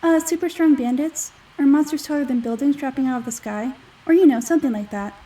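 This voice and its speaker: A woman voicing a small, childlike, excitable fairy guide from a video game, whiny and exclamatory, speaking of herself in the third person. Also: sits high, fast, far from the mic, close to an everyday voice, low-quality recording. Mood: excited, worried.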